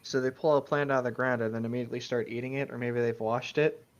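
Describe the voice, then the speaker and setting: A man's voice: medium pitch, steady tone, fast, highs cut off. A man in casual chat, reacting as a podcast co-host.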